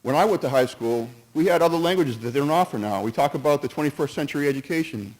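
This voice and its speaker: A man's voice: fairly high pitch, animated, fast, darkish tone, poor audio. A man speaking at a town meeting, earnest and cautious, who stumbles, restarts and jumps between points while giving his opinion. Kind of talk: public comment at a town meeting.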